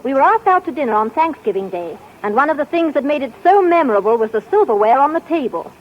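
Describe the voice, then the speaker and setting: A woman's voice: high voice, sing-song, fast talker. A woman speaking in a scripted 1940s radio commercial, gracious, genteel and persuasive. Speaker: commercial spokeswoman. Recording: dull lo-fi 1940s radio broadcast with hiss.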